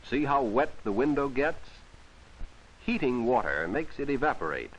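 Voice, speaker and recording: A man's voice: medium pitch, big pitch swings, moderate pace, husky, muffled recording. A man narrating a vintage 1940s educational film for children, explaining things simply and instructively on an old film soundtrack.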